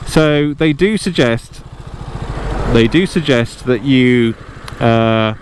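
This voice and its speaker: A woman's voice: extremely low pitch, takes it slowly. A woman with an English accent chats casually and ramblingly as she vlogs into a helmet mic while riding a motorbike. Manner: rambling, conversational, breaks off for asides. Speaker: motorcycle vlogger. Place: outdoors on a moving motorbike, in helmet. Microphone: lavalier mic in motorcycle helmet. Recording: loud motorbike engine and road noise.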